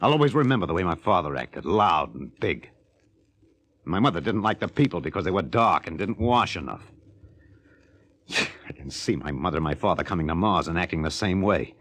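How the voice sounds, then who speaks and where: An actor with an American accent playing a bitter, disillusioned astronaut turned killer in a 1950s radio drama, intense and halting. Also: male voice, deep voice, animated, a bit bright. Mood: bitter, regretful. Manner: intense, halting, broods aloud. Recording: old 1950 radio recording.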